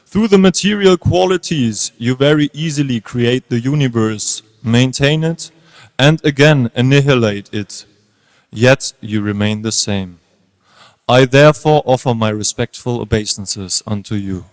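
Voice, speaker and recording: A solemn, reverent demigod, played by a man in a scripture drama, praying in a mid-pitched voice. The delivery is measured and declamatory, carried by long hall reverb. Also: close to an everyday voice. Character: reverent male demigod offering solemn prayers.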